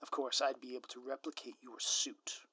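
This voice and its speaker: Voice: mid-range voice, flat delivery, a little fast, bright, forceful tone, husky. A performer voicing a scheming, smug ex-supervillain spouse in a scripted audio roleplay, with a theatrical, sardonic, grandiloquent manner. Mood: smug, teasing. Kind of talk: scripted audio roleplay monologue addressed to listener.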